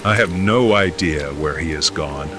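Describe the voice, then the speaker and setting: A man's voice: deep voice, a little slow, music in the background. A man playing a worried friar in an audio drama. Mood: puzzled. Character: worried friar on horseback chasing a reckless boy.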